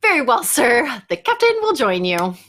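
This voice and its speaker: A woman's voice: fairly high pitch, huge pitch swings, moderate pace, a bit bright, slight background noise, voice pushed down. A woman playing a dutiful male naval crewman, making formal announcements in a sci-fi radio play. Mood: formal, announcing. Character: dutiful, crisp male naval radio operator aboard rocket. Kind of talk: cold-read sci-fi radio play acting.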